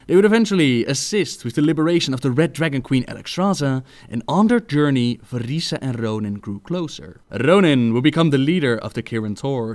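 A man with a mid-pitched voice narrates a scripted lore video. He speaks as a storyteller presenting prepared material to an audience of viewers.

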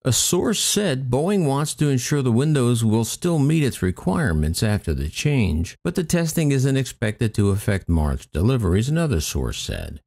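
Guy narrating airline news off a script, dark mellow voice, pretty lively delivery. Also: medium pitch, normal speed, few pauses. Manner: reads news reports aloud with occasional asides. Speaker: aviation channel narrator. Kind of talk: scripted news narration read over footage.

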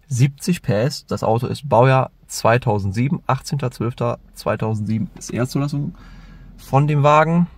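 A man casually walking through his car in a vlog, chatty and relaxed, with frequent fillers and restarts. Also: medium pitch. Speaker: car vlogger. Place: in and around a car.

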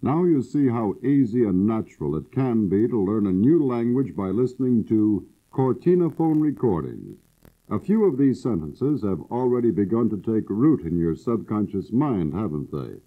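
Male promo narrator, persuasive pitchman, tinny vintage cassette sound. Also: very animated, measured pace. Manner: persuasive, salesman-like. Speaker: course announcer. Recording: husky, phone-like old cassette audio.

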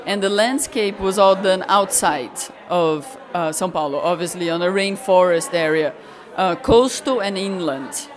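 A woman photographer from Brazil talks through her work in a bright gallery. She speaks thoughtfully and often stops to search for the right word. Her voice is picked up on a handheld mic that the interviewer holds out to her. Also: fairly low pitch, some chatter behind. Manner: thoughtful, searching for words with pauses. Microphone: handheld mic held by interviewer.

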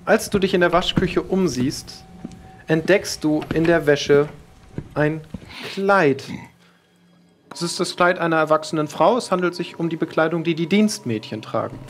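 A man's voice: on the high side, normal speed. A man narrates a pen-and-paper horror audio drama as game master. He describes scenes to the player, with a music bed underneath.

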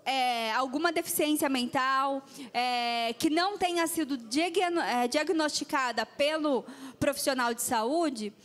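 Earnest Brazilian councilwoman, high voice. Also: unhurried, a bit bright. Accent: Brazilian Portuguese, São Paulo state. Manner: earnest, searching for words with many fillers. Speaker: city councilwoman. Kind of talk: council floor speech. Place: large council chamber. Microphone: handheld microphone.

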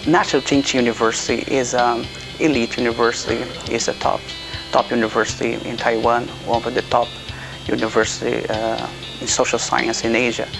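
A man's voice: medium pitch, flat delivery, fairly quick, creaky. A man from Brazil in his thirties, a doctoral student speaking earnestly in an interview, with frequent hesitations. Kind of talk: interview testimonial. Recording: music bed behind voice.

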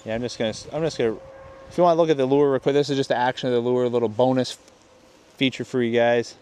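A man's voice: medium pitch, a little mellow. Chatty young man casting by a lake. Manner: chatty, rambling, often restarts and trails off. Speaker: fishing video host. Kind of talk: casual outdoor gear-test commentary. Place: outdoors on a lakeshore. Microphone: chest-mounted camera.

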